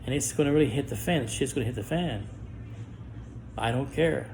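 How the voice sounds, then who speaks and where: A middle-aged man speaking a personal monologue to camera, guarded and halting, with stammered restarts and long pauses. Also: mid-range voice, gravelly, a little mellow, a little hiss or hum, poor audio. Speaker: vlogger.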